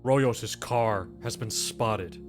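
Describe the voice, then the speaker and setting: Man playing an insistent, halting police officer. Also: on the low side, over music. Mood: urgent. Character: urgent male police officer calling in a lead. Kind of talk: tabletop roleplay.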